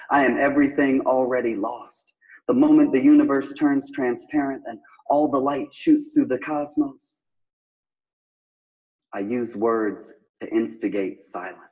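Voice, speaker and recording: A man giving a measured, incantatory spoken-word recitation of his poem, played from a recording. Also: medium pitch, darkish tone, poor audio.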